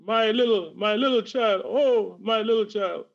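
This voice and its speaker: A grieving father of a poor family speaks in halting, choked-up phrases , as a man acts him out. His voice is high for a man. It comes through dull, lo-fi video call audio. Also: creaky.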